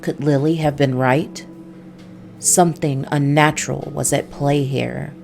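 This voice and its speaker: A woman voicing a weary, hard-boiled male detective, narrating a noir visual novel in a measured, brooding way. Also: low-pitched, fairly even intonation, vocal fry, darkish tone, a little hiss or hum.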